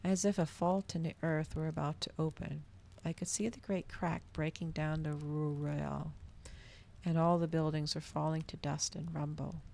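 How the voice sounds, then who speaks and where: A woman reading a novel aloud in a soft, cozy ASMR style, at times slipping toward a whisper. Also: low voice, level tone, moderate pace, vocal fry, slight background noise.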